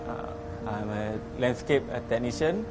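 A young man in his twenties speaks haltingly, with many pauses. Clear music plays underneath. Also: medium pitch, lively intonation. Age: 20s. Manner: shy, halting with fillers. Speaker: landscape technician. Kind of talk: documentary interview.

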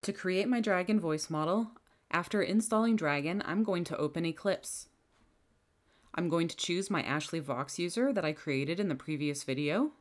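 A woman with a low-pitched voice guides the listener through a software setup one click at a time. Her delivery is clear and instructional, recorded close on a desk microphone. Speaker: software trainer. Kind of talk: screen-recorded software tutorial. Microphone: headset or bench microphone.

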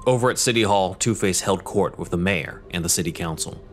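Very deep male narrator. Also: a little fast. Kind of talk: scripted dramatic narration.

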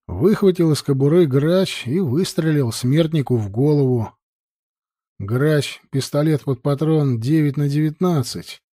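A man's voice: medium pitch, mellow, no room sound, studio quality. A man reading a novel aloud as scripted audiobook narration.

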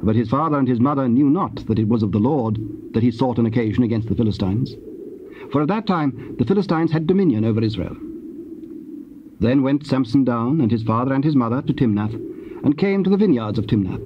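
A man narrating scripture from a script in a solemn, formal, measured storytelling manner. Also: medium pitch, animated. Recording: dull, noisy old cassette tape.

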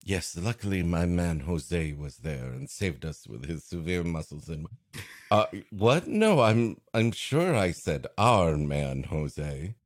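A man with a low voice and very lively pitch swings, riffing comically as a podcast co-host. He takes his time, rambling in mock earnest through improvised banter. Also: takes it slowly, no room sound, clean studio sound.

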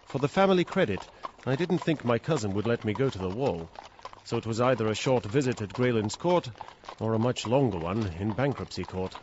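A man plays an anxious, impoverished English gentleman telling his tale in a radio play. His British voice is formal and mid-pitched with very lively intonation, on a slightly dull recording. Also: fairly quick, natural voice. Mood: reflective. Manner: formal, well-spoken. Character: polite, anxious, impecunious English gentleman narrator. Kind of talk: dramatic first-person narration and dialogue. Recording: dull, faintly noisy recording.

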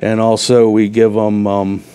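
A man's voice: lowish voice, monotone, unhurried, mellow. A middle-aged man, a guest organizer, explaining event details plainly in a casual studio interview on a lapel mic. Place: TV studio set.

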